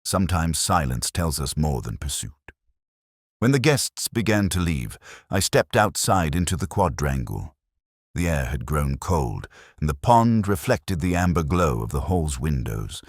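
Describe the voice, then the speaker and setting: A man plays a melancholy older police inspector with an exceptionally deep voice. His formal, wry narration moves expressively up and down in pitch. The sound is dry and close, as in an audio detective drama, with every reflection measured and brooding. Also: sing-song, dry recording, heavily acted. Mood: melancholy, reflective. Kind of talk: scripted first-person literary narration.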